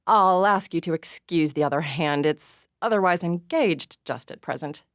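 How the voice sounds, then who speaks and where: A woman voicing a composed young man who speaks calmly and lightly with a wry, understated manner. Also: lowish voice, moderate pace, dry recording, studio quality, close to an everyday voice. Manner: calm, light, understated delivery.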